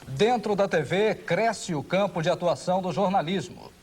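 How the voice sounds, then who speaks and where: A man's voice: high voice, animated, moderate pace, a little mellow, a little hiss or hum. A Brazilian man reading scripted documentary narration.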